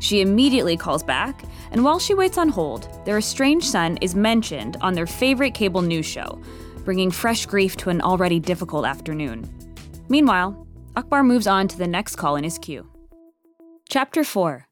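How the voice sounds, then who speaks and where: A woman narrates a scripted audio drama. Her mid-range voice is full of movement as she tells the story in a measured, wry way. Faint music runs underneath. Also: medium pitch, sing-song.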